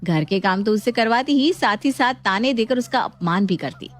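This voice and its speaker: A woman tells a scripted moral story as its narrator. Her voice sits slightly high, and music plays behind her. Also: expressive, normal speed.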